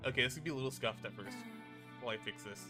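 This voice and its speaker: A man's voice: medium pitch, very fast. A man doing casual livestream commentary on a visual novel, chatting informally and reading on-screen text aloud. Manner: informal, occasional swearing. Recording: music playing behind the voice. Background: game music.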